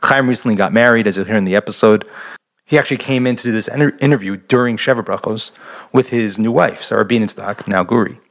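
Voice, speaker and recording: Male podcast host, deep-ish voice, eager and chatty. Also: fast. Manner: enthusiastic, repeats words and restarts often. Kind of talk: casual interview chat.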